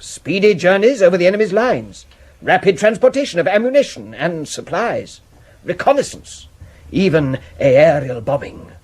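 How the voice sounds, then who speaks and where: Pompous English gentleman, husky, animated. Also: high-pitched, full character voice. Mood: excited, proud. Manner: formal, declamatory. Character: pompous, insistent English gentleman running a secret project. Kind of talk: 1970s radio drama acting.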